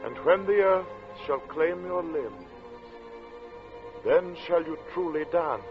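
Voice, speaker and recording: An actor playing an earnest narrator of a strange tale, speaking in a measured, theatrical 1940s radio drama style. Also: male voice, fairly high pitch, lively intonation. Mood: solemn, intense. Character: earnest male narrator recounting a strange encounter. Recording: muffled vintage radio recording with music.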